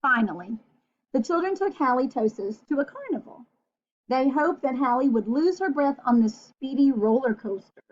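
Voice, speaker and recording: A woman's voice: high voice, expressive, normal speed. A woman reading a children's picture book aloud in a playful manner, putting questions to her young listeners. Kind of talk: animated children's read-aloud with asides to the listener. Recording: dull, lo-fi sound.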